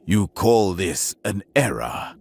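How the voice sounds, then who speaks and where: A man doing a cold, weary army surgeon. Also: normal speed. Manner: low, flat and cold, turning to a vicious hiss.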